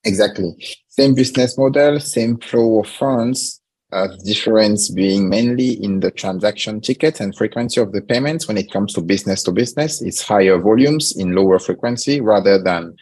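A startup founder talking through his company in a podcast interview, pausing often with fillers. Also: lowish voice, darkish tone, breathy edge, low-quality recording. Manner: measured, frequent "uh" fillers.

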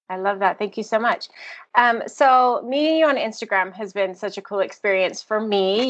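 A woman's voice: medium pitch, fairly bright tone, lo-fi sound. A young adult American woman hosting a book podcast, chatty and effusive as she interviews a guest. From United States. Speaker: podcast host. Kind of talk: casual interview chat.

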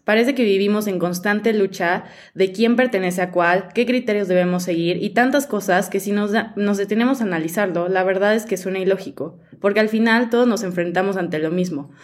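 A woman in her twenties hosting a podcast, talking quickly and casually with lots of fillers. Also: medium pitch. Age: 20s. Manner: quick, chatty, lots of "o sea". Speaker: podcast host.

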